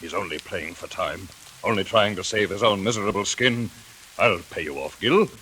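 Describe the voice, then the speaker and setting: A man's voice: deep voice, moderate pace, bright, forceful tone, poor audio. A man playing a powerful, wealthy villain in a 1940s radio drama, suave, condescending and coolly menacing. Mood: urgent, bargaining. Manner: suave, hesitant mock politeness, condescending. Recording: hissy 1940s radio recording.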